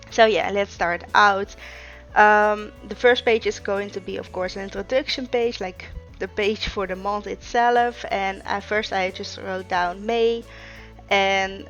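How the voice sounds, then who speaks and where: Young woman, native Russian speaker, casual vlog chat, gravelly edge, faint music behind. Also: medium pitch. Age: young adult. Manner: relaxed, chatty, many filler ums and uhs. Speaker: vlogger. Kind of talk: casual plan-with-me narration.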